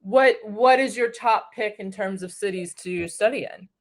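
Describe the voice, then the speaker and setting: A woman from New York City chats in a friendly, easygoing way, at a middle pitch for a woman. She sounds like an online tutor talking with a student over a video call. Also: moderate pace. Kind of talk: casual conversation.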